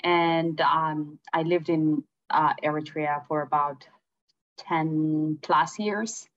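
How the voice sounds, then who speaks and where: A woman from Ethiopia speaks English, her voice sitting fairly low. She talks hesitantly, with many ums and soft laughs between thoughts. Her voice comes through dull, lo-fi call audio. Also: steady tone, slow. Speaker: guest, empowerment coach. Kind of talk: interview.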